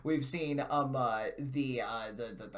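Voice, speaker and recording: An American man co-hosting a video game news show, giving casual but wordy, halting commentary in measured, somewhat formal words. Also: medium pitch.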